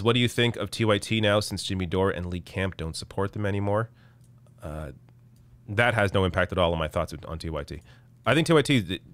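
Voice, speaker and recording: A man in his thirties hosting a live political stream, reading chat and answering in a casual, halting way while losing his voice. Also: deep voice, fairly bright tone, no echo. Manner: casual, halting, many restarts. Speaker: political show host. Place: small dark room, indoors. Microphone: studio mic on arm in front.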